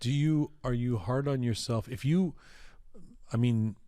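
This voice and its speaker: A man's voice: medium pitch, measured pace, dark tone, no echo. A male host asking curious, probing questions in a studio interview.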